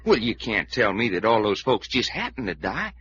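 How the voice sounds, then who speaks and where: A lively, folksy Southern sidekick. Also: male voice, fairly low pitch, normal speed. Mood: superstitious, worried. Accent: Southern American. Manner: drawling, folksy. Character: folksy, superstitious, excitable male cowboy-like sidekick. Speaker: radio actor. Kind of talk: old-time American radio drama acting. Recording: muffled, noisy old radio recording.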